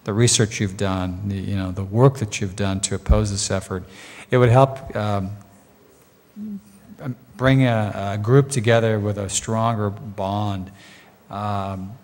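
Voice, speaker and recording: A man's voice: lowish voice, fairly even intonation, unhurried, darkish tone. A man on a community board, speaking at length in an earnest, diplomatic way at a public meeting. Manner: earnest, long-winded, diplomatic. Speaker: community services district board member. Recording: reverberant meeting hall.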